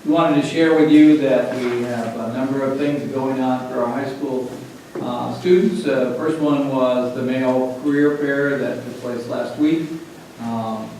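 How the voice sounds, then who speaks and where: A man giving a school report at a board meeting, measured, with frequent "uh"s. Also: mid-range voice, fairly even intonation, a little mellow, a little hiss or hum. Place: large meeting room. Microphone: distant room mic. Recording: echoey room from far off.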